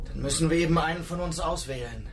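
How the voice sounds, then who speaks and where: Man playing a youthful higher-voiced space voyager. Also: fast talker, noisy background, natural voice. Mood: resigned. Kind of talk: science fiction radio drama acting.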